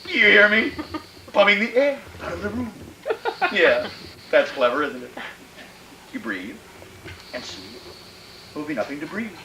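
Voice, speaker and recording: A sinister old murderer in a radio thriller, with a voice that swoops widely in pitch. He is theatrical and menacing, able to turn from gloating to frantic pleading. The sound is roomy, like a live stage, with faint noise behind. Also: animated, measured pace, long pauses. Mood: gloating menace. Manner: menacing, theatrical, repeats phrases. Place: playhouse stage, live performance.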